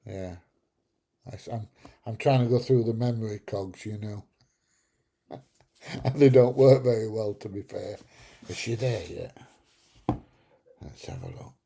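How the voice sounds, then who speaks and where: A man's voice: on the low side, measured pace, creaky, long pauses, mellow, breathy edge. A middle-aged man hosting a casual live chat, rambling through jokey stories and chuckling at his own tales. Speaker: livestream host. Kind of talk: casual live chat reading viewer comments.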